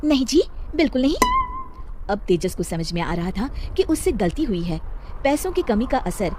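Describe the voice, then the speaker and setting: A woman's voice: mid-range voice, big pitch swings, normal speed, dark tone, slight background noise. A woman reading a scripted story as its narrator.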